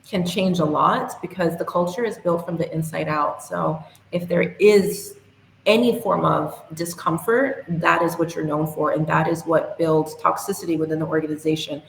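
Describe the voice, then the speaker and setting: Young woman guest, low and earnest, slightly echoey remote call. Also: steady tone, normal speed, vocal fry. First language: English. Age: young adult. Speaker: podcast guest. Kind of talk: interview answers. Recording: echoey room, faint hiss.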